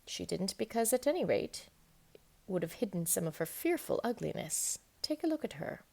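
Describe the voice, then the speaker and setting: A woman reading a children's book aloud in an expressive, emphatic storytelling manner. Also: mid-range voice, normal speed, vocal fry. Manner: animated, emphatic storytelling with dramatic stresses. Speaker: storybook reader.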